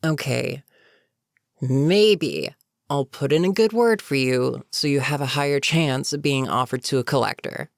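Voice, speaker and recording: A weary goblin captain performed by a voice actor speaks in a creaky, gravel-edged voice at a leisurely pace. The delivery is conversational fantasy roleplay, sardonic and amused but businesslike. The sound is very dry, with no room around it. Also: mid-range voice, measured pace, no room sound. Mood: wry, matter-of-fact. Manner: casual, sardonic, chatty, sighing asides. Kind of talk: immersive character roleplay monologue, conversational.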